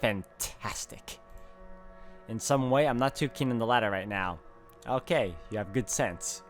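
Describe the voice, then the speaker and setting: A man's voice: medium pitch, unhurried. Male game streamer who reads story text dramatically and adds his own reactions, faint music underneath. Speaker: gaming video host. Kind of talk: dramatic read-aloud narration with reactions.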